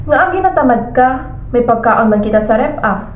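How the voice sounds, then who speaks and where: A woman's voice: fairly high pitch, expressive, moderate pace, close to an everyday voice. A woman playing a hardworking, caring young girlfriend in an amateur radio drama, earnest and conversational, with a Western Visayan Filipino accent. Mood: exasperated. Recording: roomy echo, faint background noise.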